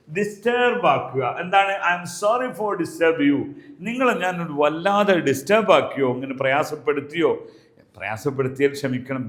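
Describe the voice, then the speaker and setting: Male language teacher, Malayali accent, high-pitched voice, explanatory delivery with deliberate repetition, recorded in a reverberant room. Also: fairly bright tone. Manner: explanatory, repeats example phrases slowly and deliberately. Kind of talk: spoken language lesson. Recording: echoey room.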